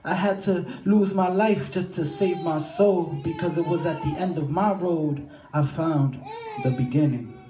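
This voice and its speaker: A man's voice: fairly high pitch, unhurried. A man performing rhythmic, rhyming spoken word as a church poet, with emphatic delivery. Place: church hall during a live service. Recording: roomy live church sound over music. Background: music.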